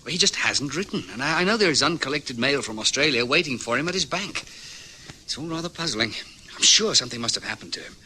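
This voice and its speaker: A man's voice: medium pitch, expressive, fast talker, pushed and bright, slight background noise, low-quality recording. An actor playing an earnest, anxious young man newly arrived from Australia, polite and concerned, in a vintage radio drama. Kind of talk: 1950s-60s radio drama acting.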